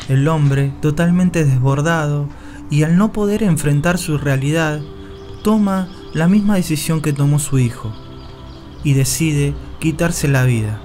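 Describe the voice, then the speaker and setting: A solemn man narrates in slow, measured sentences, his voice slightly raised in pitch. Faint music plays under the narration, which sounds studio-recorded rather than on location. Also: a little mellow. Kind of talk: scripted dramatic narration.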